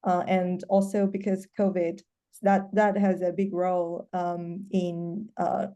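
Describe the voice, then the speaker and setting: A woman's voice: on the low side, level tone, takes it slowly, mellow, lo-fi sound. A woman in her thirties, from China, speaking as a professor on an online alumni panel, hesitant and often restarting. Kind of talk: casual panel talk. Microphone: video call.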